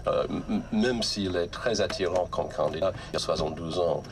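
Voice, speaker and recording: Deep-voiced American man speaking halting French. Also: noisy background. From South Carolina, USA. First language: English. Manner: halting, repeats words, many hesitations. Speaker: radio guest commentator. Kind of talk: radio interview.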